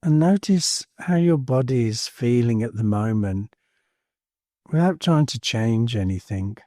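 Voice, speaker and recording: A man leading a guided meditation in a calm, soothing manner, leaving space between short phrases. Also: medium pitch, unhurried, no room sound, clean studio sound. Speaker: meditation teacher. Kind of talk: guided body scan meditation.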